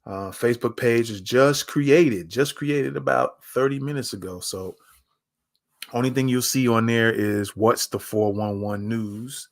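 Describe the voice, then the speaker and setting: A man's voice: medium pitch, unhurried, creaky. A man hosting a live news stream, talking in a loose, rambling, easygoing way. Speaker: livestream news host. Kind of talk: casual live commentary.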